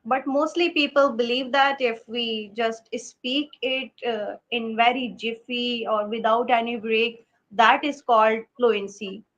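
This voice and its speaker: A woman's voice: fairly high pitch, steady tone, a little slow, hardly any pauses, fairly bright tone, lo-fi sound. A woman, hosting a live stream interview over a video call, talking hesitantly with many fillers. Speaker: host.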